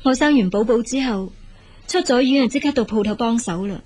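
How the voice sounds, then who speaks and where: An actress playing a burdened, sensible adult daughter in a radio drama, patient yet reluctant, reasoning earnestly in Hong Kong Cantonese. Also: female voice, on the high side, brisk, darkish tone, breathy edge. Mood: aggrieved.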